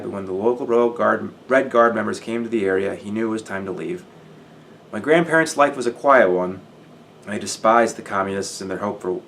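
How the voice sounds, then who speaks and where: A man reading a cocky soldier, blunt, matter-of-fact, low voice. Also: vocal fry, a bit bright, a little hiss or hum. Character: cocky Welsh-raised male special forces operator.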